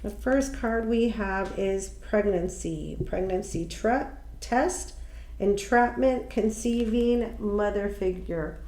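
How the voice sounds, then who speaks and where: A woman with a slightly nasal, stuffy voice talks through a tarot reading in a casual, musing way. She speaks very slowly and trails off with ums and restarts. The room sounds echoey, with a faint hiss behind her. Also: medium pitch, a little mellow. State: stuffy from allergies. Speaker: tarot reader.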